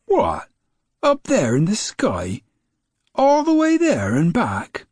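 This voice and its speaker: A man voicing a nervous, excitable old grandmother in a storybook, with high fluttery exclamations. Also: slow, husky, no echo, clean studio sound, heavily acted. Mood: astonished.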